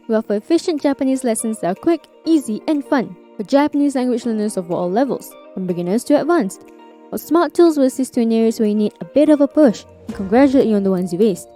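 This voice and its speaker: A woman's voice: fairly high pitch, mellow. A woman presenting a scripted educational podcast as host and language teacher.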